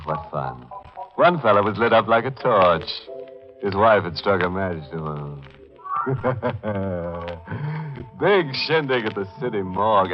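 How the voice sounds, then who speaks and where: Casting: a mock-genial ghoulish 1940s radio host, deep and unhurried, relishing the gruesome, on dull vintage audio. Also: male voice. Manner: arch, punning, relishing the gruesome. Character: gleefully macabre, mock-genial male horror host. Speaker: host of a radio horror anthology. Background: music.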